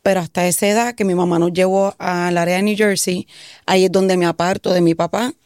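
A woman's voice: lowish voice, steady tone, brisk, a little mellow, dry recording, studio quality. A Puerto Rican woman telling her faith testimony in an interview, earnest and saying amen often. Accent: Puerto Rican Spanish. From Puerto Rico. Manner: earnest, answers with frequent "amén". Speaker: guest giving a testimony.

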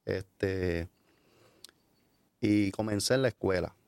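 A Puerto Rican man telling his life story as an interview guest, halting with many fillers and restarts. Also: low voice, creaky, a little mellow, clear, resonant tone, no room sound. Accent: Puerto Rican Spanish. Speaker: guest giving a testimony. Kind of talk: hesitant conversational storytelling.

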